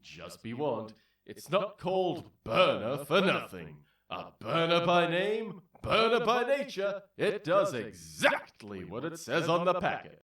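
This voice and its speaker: Casting: male actor as showy, cruelly cheery game show host, high voice, echoing room. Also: huge pitch swings, a bit bright, distant mic, heavily acted. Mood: showy, teasing. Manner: theatrical, drawn-out announcer delivery. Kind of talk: game show parody audio drama acting. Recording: roomy echo, off the mic.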